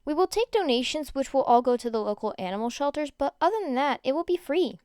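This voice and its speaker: A woman voicing a poised, upbeat teenage girl who speaks politely and briskly, organizing others in an audio story. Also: high-pitched, expressive, a little mellow, no echo, clean studio sound. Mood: cheerful.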